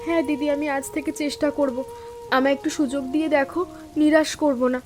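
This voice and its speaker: A woman playing a timid, earnest young sister-in-law in an animated story, with an anxious, pleading and deferential manner. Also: sits high, quick pace, natural voice.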